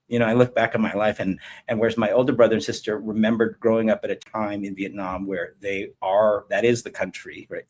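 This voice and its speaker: A man with an American accent chatting casually as a podcast guest, telling personal stories in a rambling way peppered with "you know". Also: lowish voice. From United States. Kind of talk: casual conversational interview, personal storytelling.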